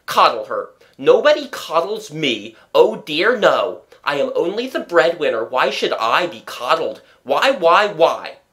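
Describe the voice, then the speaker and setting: A storybook reader voicing a blustering, pompous middle-aged father who declaims loudly and indignantly. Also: mid-range voice, drawn-out words, few pauses. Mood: bitter, indignant. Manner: loud, indignant, emphatic declaiming.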